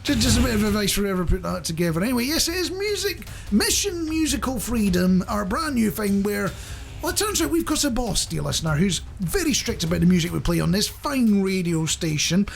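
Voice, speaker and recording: A man's voice: high voice, very animated, brisk, creaky, soft background music. A man presenting a radio show in a chatty, rambling, jokey way, speaking directly to the listener.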